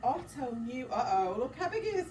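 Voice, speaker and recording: A woman's voice: high voice, moderate pace. A woman teacher reading a picture book aloud to children, expressively, breaking off for encouraging questions and asides. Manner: expressive, dramatic gasps, encouraging prompts. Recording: roomy, distant mic, clear background noise.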